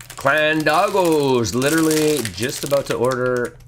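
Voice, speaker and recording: A man with a hoarse, congested voice from a sinus infection, casually chatting through a live stream while building a set and reading the chat. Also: medium pitch, a bit bright, slight background noise. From Canada. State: losing his voice from a sinus infection.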